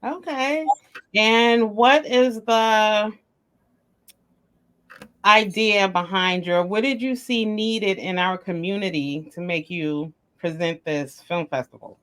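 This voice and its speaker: Woman, podcast host, interviewing, enthusiastic, effusive, mid-pitched. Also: slow, a bit bright, smooth.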